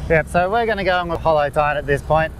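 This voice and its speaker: A man, explaining golf green work in a relaxed way with many fillers, recorded outdoors on a course. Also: on the high side, fast talker, a little hiss or hum, rough recording. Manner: laid-back, lots of "like" fillers. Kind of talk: casual explanatory interview.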